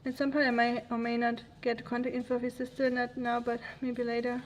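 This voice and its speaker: A woman's voice: fairly high pitch, monotone, a little fast, slight background noise, rough recording. A woman from Germany with a German accent, hosting a casual livestream and chatting through viewer comments in a rambling, easygoing way. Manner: rambling, chatty, laughs easily. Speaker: livestream host.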